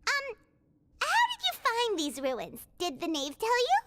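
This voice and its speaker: Squeaky little game fairy kid, super high pitched, bubbly n chatty, bouncy melody in the voice. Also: female voice, huge pitch swings, a little slow, noisy background, full character voice. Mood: awkward, casual. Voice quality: squeaky, childlike. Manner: exclamatory, bubbly. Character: tiny perky childlike floating fairy companion, chatty and excitable. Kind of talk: video game character acting.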